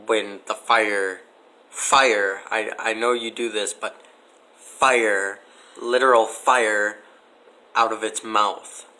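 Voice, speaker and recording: A man as a podcast guest in a casual debate, skeptical and argumentative, with halting delivery. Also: on the low side, bright, forceful tone, poor audio.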